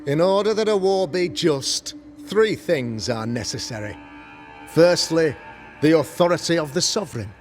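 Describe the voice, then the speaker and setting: An actor playing a pompous, self-righteous colonial governor and preacher, speaking in formal, oratorical period language in a video game. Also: male voice, fairly high pitch, lively intonation, moderate pace, darkish tone, soft background music, close to an everyday voice. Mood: righteous, forceful. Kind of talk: theatrical period drama sermonizing.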